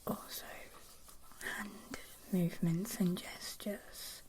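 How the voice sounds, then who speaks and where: A woman whispering softly and gently as she hosts and explains in an ASMR style. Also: on the low side, steady tone. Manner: soft, gentle, slow and deliberate whispering. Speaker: ASMR video creator and host.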